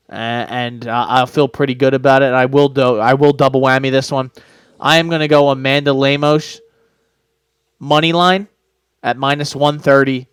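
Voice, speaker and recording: A man's voice: mid-range voice, clean tone, no echo, studio quality. A man co-hosting a podcast, giving casual betting picks and rambling with lots of fillers and restarts. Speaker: podcast co-host. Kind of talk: casual sports betting chat.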